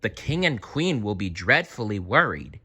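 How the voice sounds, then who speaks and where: A man's voice: fairly low pitch, very animated, moderate pace, pushed and bright, breathy edge, heavily acted. A man voicing a brave, kind young girl knight in a storybook, speaking earnestly and frankly. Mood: kind, firm. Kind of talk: storybook character voice.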